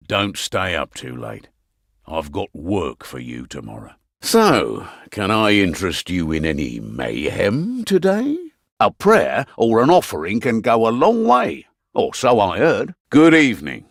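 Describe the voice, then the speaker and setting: Fantasy game quartermaster: middle-aged Englishman, gruff, dryly humorous, hugely varied intonation, close dry studio sound. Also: lowish voice, no room sound, exaggerated voice. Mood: dry banter. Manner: wry, blunt, colloquial banter. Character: gruff, wry veteran innkeeper and mission quartermaster, male. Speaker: quest-giver in a fantasy game. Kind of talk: fantasy video game character barks.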